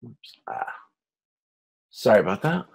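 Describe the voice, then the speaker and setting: A man's voice: fairly low pitch, wildly swooping pitch, a little slow, creaky, a little mellow, husky. A man lecturing informally to a class, explaining step by step and often repeating and rephrasing himself as he goes. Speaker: university course lecturer.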